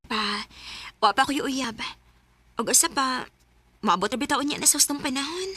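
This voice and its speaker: High, bright young Filipina emotional daughter. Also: female voice, normal speed, slightly rough, no echo, full character voice. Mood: earnest. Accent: Cebuano Filipino. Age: young adult. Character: emotional young daughter, hurt but loving sweetheart. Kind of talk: Bisaya radio drama acting. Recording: music behind radio drama dialogue.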